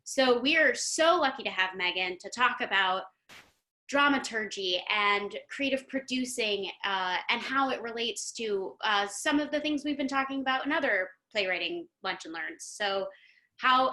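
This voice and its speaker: Bright, excitable woman hosting. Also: a little high, moderate pace. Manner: effusive, excited, chatty. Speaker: host. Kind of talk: enthusiastic live online interview hosting. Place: online video meeting.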